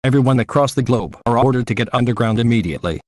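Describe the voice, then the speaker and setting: A man's voice: mid-range voice, expressive, fast, a little mellow, no echo. A man reading a scripted emergency news bulletin in a formal, declarative way. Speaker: news announcer.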